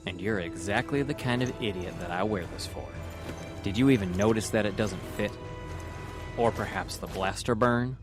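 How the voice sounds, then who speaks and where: A lowish male actor's voice as a plain-spoken, shrewd navy officer in a sci-fi comic dub. He is conversational and wry, talking over loud music. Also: moderate pace, close to an everyday voice. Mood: cocky. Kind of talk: scripted comic dub acting.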